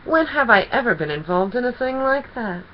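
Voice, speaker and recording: A woman's voice: on the high side, expressive, fairly quick, lo-fi sound, a little hiss or hum, voice pushed up, lightly acted. A woman voicing a gleeful, teasing male chaos creature from a children's storybook, with theatrical mock innocence and gushing delight. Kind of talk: children's storybook character reading.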